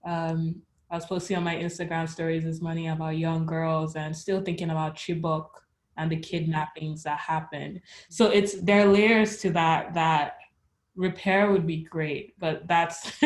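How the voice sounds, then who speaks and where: A young woman with a low voice, speaking. She talks thoughtfully, pausing and searching for her words. Her tone stays fairly level throughout. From Nigeria. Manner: thoughtful, searching, with pauses and fillers. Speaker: artist interviewee. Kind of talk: reflective conversation.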